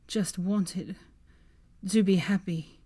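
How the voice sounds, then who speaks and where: A woman's voice: lowish voice, slow pace, dark tone, slightly rough. A woman voicing a feverish, dying young man who slurs and whispers in broken phrases. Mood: delirious. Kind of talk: audiobook fan fiction reading.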